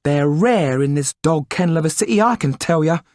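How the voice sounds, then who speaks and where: A man putting on a brash, flirtatious café owner's wife in a storybook reading, raised well above his own pitch. She talks emphatically and coquettishly. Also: lively intonation, normal speed, darkish tone, no echo, studio quality, close to an everyday voice.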